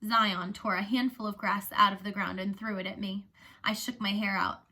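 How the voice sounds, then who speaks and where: Bright-voiced woman reading a story aloud. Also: medium pitch, normal speed. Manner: animated, acts out the dialogue. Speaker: reader of a children's novel. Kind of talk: expressive read-aloud storytelling.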